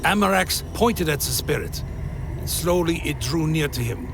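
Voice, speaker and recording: A brooding undead vampire played by a man chronicles his dark tale in a solemn, literary way. His voice is fairly high for a male and heavily creaky, with music playing behind it. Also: expressive, moderate pace. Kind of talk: first-person gothic radio play narration.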